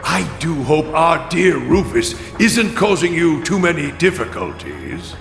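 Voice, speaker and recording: A calculating imperial chancellor from a video game. His voice is gravelly and rather high for a man, and he delivers formal, commanding courtesies at a deliberate, slow pace. Every phrase is measured and imperious. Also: sing-song, fairly bright tone, full character voice. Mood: coolly courteous. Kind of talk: dramatic video game cutscene acting. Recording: echoey hall reverb, music behind. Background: music.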